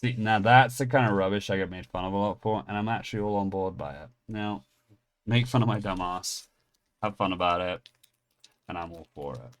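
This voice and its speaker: A man in his thirties from England with an English accent softened by American habits, telling a rambling story and then opening trading card packs in a casual, self-mocking way. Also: low-pitched, fairly quick. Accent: English, partly Americanized. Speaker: pack-opening channel host.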